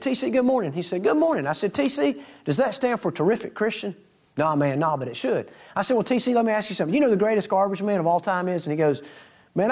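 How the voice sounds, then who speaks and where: A man's voice: sits high, darkish tone. A middle-aged man, a preacher telling folksy stories to his congregation and acting out the dialogue. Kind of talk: storytelling sermon to a congregation.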